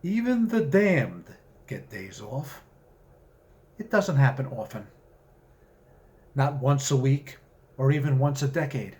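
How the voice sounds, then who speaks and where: A man speaking as an author presenting and reading his own short story, with measured, deliberate phrasing. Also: medium pitch, expressive, a little mellow. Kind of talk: story reading with spoken introduction.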